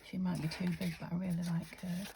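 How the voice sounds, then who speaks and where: A soft-spoken woman from the UK talks through a craft project, thinking aloud and often slipping into a near-whisper. Her voice sits low and mellow, with a gravelly, slightly croaky edge. A faint hiss of noise sits behind her. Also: flat delivery, quick pace. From United Kingdom. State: a little croaky. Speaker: craft vlogger. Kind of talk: casual craft-along chat.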